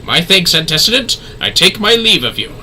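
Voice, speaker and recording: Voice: mid-range voice, sing-song, normal speed, bright, forceful tone, faint music behind. A woman voicing a dutiful male guard in a fantasy game, with stiff, formal, slightly flustered politeness. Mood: formal, deferential.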